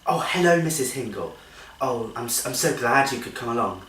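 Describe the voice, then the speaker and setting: A genteel nursery teacher played by a man in a theatrical comic monologue. The delivery is animated and singsong, coaxing sweetly, then scolding with thinly held patience. It is recorded at a distance in an echoey room. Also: medium pitch, brisk, slight background noise, close to an everyday voice. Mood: bright, coaxing. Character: genteel, strained-cheerful female nursery teacher, patient but exasperated.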